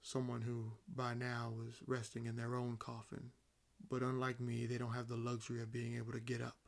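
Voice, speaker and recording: A man voicing an ancient vampire in an audio roleplay, nonchalant and amused, speaking with wry condescension and theatrical pauses. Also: mid-range voice, gravelly. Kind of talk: roleplay audio acting, addressing the listener.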